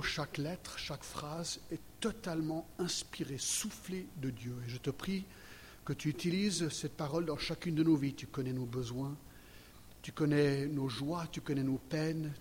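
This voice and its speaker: A man's voice: a little high, slight background noise. A man in his sixties, American raised in Geneva, preaching a sermon and sharing his testimony, chatty and often checking in with the congregation. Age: 60s. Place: church hall. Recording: long reverb hall, faint ambience.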